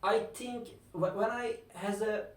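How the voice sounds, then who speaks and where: A man in his twenties with a Turkish accent, answering questions in hesitant English with slips, pauses and soft laughs. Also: sits high, fairly even intonation. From Turkey. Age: 20s. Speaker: Airbnb host. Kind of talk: casual interview answers. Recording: echoey room, mic at a distance.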